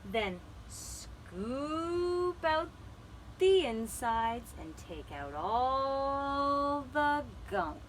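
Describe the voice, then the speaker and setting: A woman's voice: sits high, sing-song, drawn-out words, slight background noise, full character voice. A woman voicing a male villager in a puppet-show story, patiently instructing his neighbours step by step. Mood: patient, instructive.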